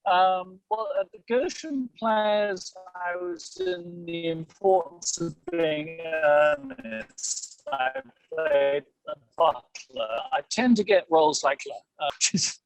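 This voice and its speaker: A man in a casual interview, speaking in a hesitant, halting, self-deprecating way as an amateur actor. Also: high voice, very animated, gravelly, faint music behind, poor audio.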